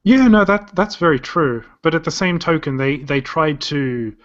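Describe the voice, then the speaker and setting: A man's voice: fairly high pitch, animated, moderate pace. A man in his thirties with an Australian accent, chatting on a podcast in a rambling way that often restarts sentences. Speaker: podcast co-host. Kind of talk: casual chat. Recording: dull lo-fi podcast audio.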